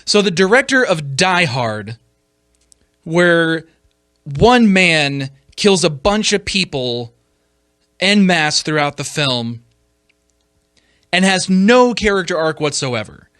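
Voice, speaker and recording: A man giving opinion commentary as a podcast host, emphatic and argumentative, often repeating words haltingly and leaning on rhetorical questions. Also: on the high side, big pitch swings, fairly bright tone, no room sound, clean studio sound.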